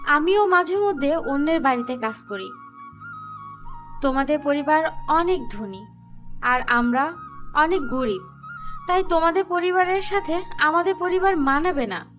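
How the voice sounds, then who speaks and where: Cartoon role: humble poor young woman, very high girlish voice, earnest, soft music underneath. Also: expressive, unhurried, pauses often, muffled recording. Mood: humble, sad. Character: sweet, humble young woman, poor student and dutiful daughter. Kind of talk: cartoon dialogue.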